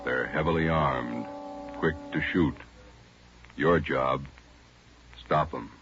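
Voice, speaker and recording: A man's voice: rumbling low, takes it slowly, gravelly, background noise. A man announcing a dramatic 1940s radio show in grave, terse scripted phrases. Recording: muffled old radio broadcast recording.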